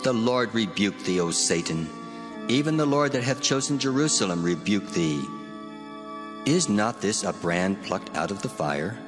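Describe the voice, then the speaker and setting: A man's voice: fairly low pitch, expressive, moderate pace, close to an everyday voice. A man playing a stern, majestic heavenly figure, commanding and declamatory, in dramatized scripture. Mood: rebuking. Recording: long hall reverb, faint music. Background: faint music.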